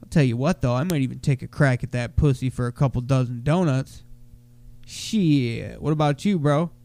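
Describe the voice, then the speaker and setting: A young man co-hosting a casual podcast, stuffy from a cold, talking in loud, crude, slangy banter. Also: medium pitch, normal speed, vocal fry, dry recording. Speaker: podcast co-host.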